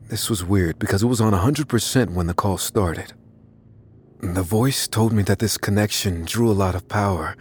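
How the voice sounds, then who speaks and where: A man playing an anxious, unassuming narrator who recounts his own mystery in a measured, confessional way for an audio drama. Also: low-pitched, a little mellow, slight background noise, lightly acted. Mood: tense, matter-of-fact. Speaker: narrator of a fiction podcast. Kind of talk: first-person dramatic scripted narration. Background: faint music.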